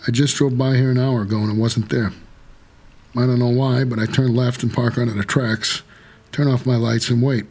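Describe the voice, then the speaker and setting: An American actor playing a weary, guarded supernatural driver who narrates an audio drama in terse, measured noir style. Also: male voice, mid-range voice, flat delivery, a little mellow, breathy edge. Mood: brooding, measured.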